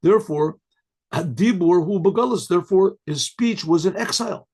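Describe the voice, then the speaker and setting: A man teaching an informal Torah class as a rabbi, talking in a conversational way with rhetorical questions and often clearing his throat. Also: high voice, normal speed, a little mellow.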